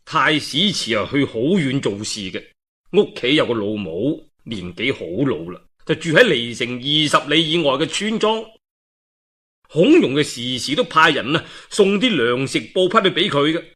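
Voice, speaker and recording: A man with a Cantonese accent performs traditional historical storytelling. His voice sits fairly high and bright, with a husky grain. He is dramatic and expressive, dropping in colloquial asides. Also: normal speed.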